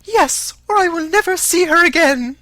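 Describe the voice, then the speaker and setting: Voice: very high voice, normal speed, bright, forceful tone, no echo, voice pushed up, poor audio. A narrator voicing an agitated, demanding middle-aged mother in an audiobook, exclamatory and pleading. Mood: indignant. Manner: exclamatory, pleading, self-pitying.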